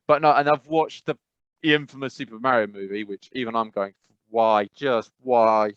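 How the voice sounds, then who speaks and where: A man's voice: mid-range voice, moderate pace, fairly bright tone, clean tone, dry recording. A man co-hosting a casual podcast, rambling with lots of fillers and restarts. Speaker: podcast co-host. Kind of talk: casual chat. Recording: dry, slightly dull sound.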